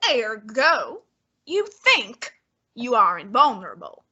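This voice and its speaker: A woman's voice: on the high side, sing-song, slow pace, bright, forceful tone, slightly rough, dull audio, exaggerated voice. A woman playing a pompous, heavyset older male detective in an old-time radio drama, speaking with haughty, fussy, lofty diction.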